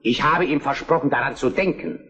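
An actor playing a proud, forceful Prussian count and statesman in a historical German radio drama, speaking formally and declaiming. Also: mid-range voice, fairly quick, close to an everyday voice. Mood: firm, controlled. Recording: roomy, echoing drama recording.

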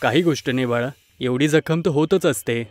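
A man's voice: medium pitch, normal speed, lightly acted. A man playing a concerned young father, emphatic and dramatic, in a horror cartoon.

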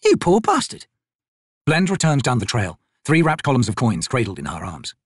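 A man narrates a fantasy novel in a husky, mid-pitched voice. His reading is scripted, with lively movement in pitch. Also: a little fast, no echo, clean studio sound. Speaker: audiobook narrator.

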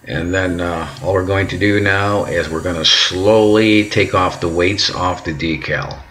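A middle-aged man giving calm, patient step-by-step instructions at home, often repeating words and checking in with "okay". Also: low-pitched, measured pace, slight background noise. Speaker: instructor demonstrating decal application. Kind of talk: step-by-step how-to narration. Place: home kitchen and workbench indoors.

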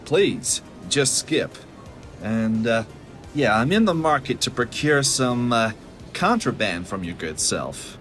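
A man's voice: mid-range voice, big pitch swings, slow, fairly bright tone, faint music behind. A man playing a laid-back, sheepish space rogue in an audio drama, with a casual, hesitant drawl. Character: laid-back roguish male space hero, sheepish charmer.

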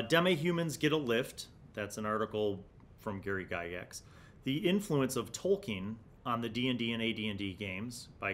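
An American man in his forties speaks as the host of a gaming review vlog. His voice sits on the low side. He explains things conversationally and reflectively, often repeating a word as he feels his way through a phrase, recorded on a studio microphone indoors. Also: a bit bright, a little hiss or hum. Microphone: large desktop studio microphone.

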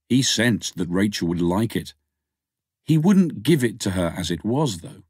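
A man narrates an audiobook short story in a lower-pitched voice. The recording is dry and close, with no room around his words. He reads as a scripted storyteller. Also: no room sound.

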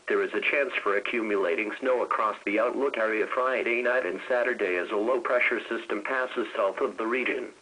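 A man's voice: medium pitch, a bit bright, husky, rough recording. A man with a synthetic-sounding American voice reads a scripted weather radio bulletin in a formal, automated manner.

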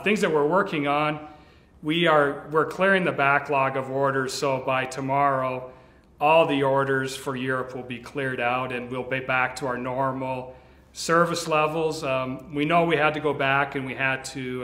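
A middle-aged man, a company vice president, speaks earnestly and reassuringly to sales leaders, with frequent restarts, standing in a bright office. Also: medium pitch, steady tone, unhurried. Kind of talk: video address to sales leaders. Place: large bright office with tall windows, indoors. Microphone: standing before a fixed camera, two-shot. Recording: echoey room.

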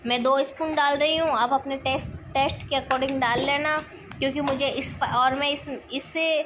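A woman's voice: high voice, steady tone, moderate pace. A woman with a sore, scratchy throat, chatting through a cooking demonstration in her home kitchen and explaining each step. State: sore throat, clearing it often. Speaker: cooking vlogger. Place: home kitchen at a gas stove.

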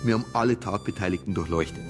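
An actor playing a dogged, suspicious police investigator in a radio crime drama, brooding and colloquial with a Bavarian accent. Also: male voice, lowish voice, very fast, natural voice. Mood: deliberating. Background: background music.

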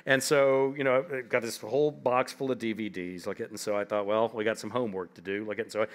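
A man's voice: fairly low pitch, steady tone, fairly quick. A man in his fifties, an author telling funny anecdotes on a library stage before a seated audience, rambling with lots of "like" and "you know". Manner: rambling, humorous, heavy on "like" and "you know" fillers. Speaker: author guest.

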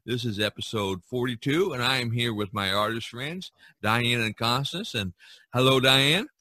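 A man hosting a casual podcast conversation, phrasing things deliberately with pauses. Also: medium pitch, bright, forceful tone, no room sound. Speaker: podcast host.

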